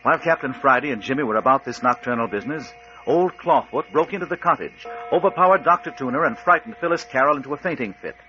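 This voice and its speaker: Dramatic, animated radio announcer man. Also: medium pitch, fast talker, soft background music. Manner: dramatic, portentous. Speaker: announcer and narrator. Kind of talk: 1940s radio announcing, scripted narration. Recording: muffled vintage radio recording.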